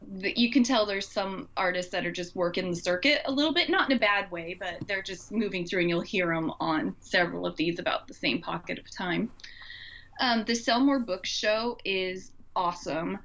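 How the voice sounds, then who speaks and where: A woman speaks in a casual, enthusiastic conversational style at a medium pitch. The recording is slightly dull and lo-fi, and she sounds informal throughout. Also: normal speed, a bit bright.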